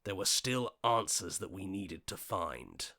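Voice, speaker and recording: A man voicing a level-headed, questioning hero in a video game, speaking earnestly in short deliberate lines. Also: deep voice, very animated, gravelly, pushed and bright, heavily acted. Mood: questioning, suspicious.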